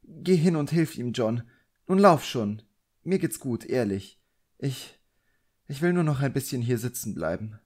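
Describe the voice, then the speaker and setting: A shaken, motherly middle-aged woman in an audiobook, acted by a man, pitched well above his own voice. The delivery is halting and affectionate, gently reassuring. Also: lively intonation. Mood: gently urging. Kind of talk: audiobook character acting.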